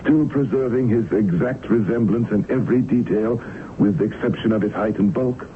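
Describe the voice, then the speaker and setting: A haunted, desperate sea captain acted by a man speaks in a low voice with halting, theatrical anguish. It is radio drama, on a muffled vintage recording with some background noise. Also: close to an everyday voice. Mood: urgent questioning. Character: haunted, desperate male sea captain fearing a supernatural pursuer. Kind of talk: old-time radio drama acting.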